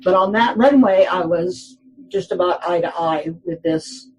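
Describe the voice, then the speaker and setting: A woman's voice: on the low side, unhurried, slight background noise, rough recording. A woman in her eighties, a guest telling rambling anecdotes in a casual interview, full of fillers and restarts, often laughing.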